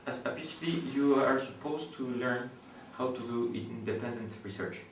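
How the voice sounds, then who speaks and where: Guatemalan man speaking halting English. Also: medium pitch. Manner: halting, many fillers and restarts, thoughtful. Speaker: PhD student in astronomy. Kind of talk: interview, explaining his research. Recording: roomy, distant mic with faint background noise.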